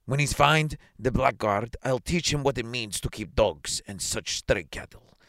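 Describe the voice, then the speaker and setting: A man's voice: mid-range voice, big pitch swings, unhurried, no echo, studio quality. Man putting on a pompous, blustering police superintendent. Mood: stern, threatening. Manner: pompous, barking orders and questions. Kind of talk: dramatised short story reading.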